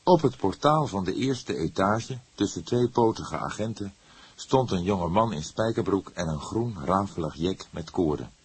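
A male narrator reads a crime story in a deep, husky voice. It is scripted storytelling, delivered as an audiobook. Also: expressive, unhurried, no room sound.

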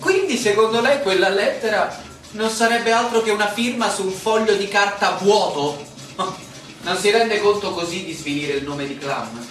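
An actor playing an insistent land surveyor, a stranger challenging officials in formal, ironic, theatrical speech. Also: male voice, high-pitched, moderate pace, fairly bright tone. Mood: defiant. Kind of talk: stage-style radio drama acting. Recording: noisy echoey room, far from mic.

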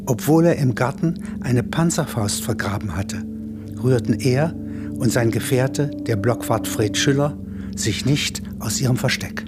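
Male narrator for literary radio drama, mid-range voice, set against clear music. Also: medium pitch, unhurried.

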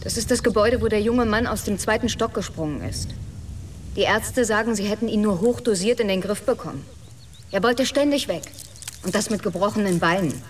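An actress playing a reflective, quietly reproachful woman in naturalistic radio drama dialogue. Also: medium pitch, a little fast, slight background noise, close to an everyday voice. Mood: pensive reproach.

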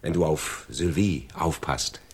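A man's voice: extremely low pitch, takes it slowly, husky, full character voice. An actor playing a loyal, persistent crook in a radio crime drama, earnest and persuasive as he argues. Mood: probing, pressing. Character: loyal, persistent male crook pleading for his jailed friend.

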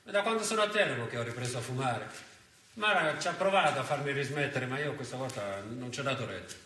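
A man's voice: sits high, pushed and bright, exaggerated voice. An actor playing a weary, haunted male driver, telling his story dramatically in a theatrical monologue. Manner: dramatic, narrating in the present tense. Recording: roomy, distant mic with faint noise.

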